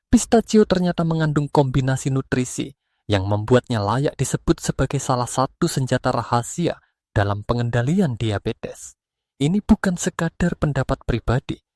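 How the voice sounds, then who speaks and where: Male Indonesian narrator for health explainer, medium pitch, earnest scripted read, close dry recording. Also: a little mellow, no echo.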